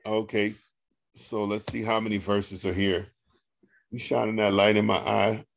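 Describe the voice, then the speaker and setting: A man with a deep voice, a pastor leading an online Bible study and reading scripture aloud slowly on a video call. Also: little pitch movement, creaky, a little hiss or hum. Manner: slow, deliberate reading with a coaxing, teasing tone.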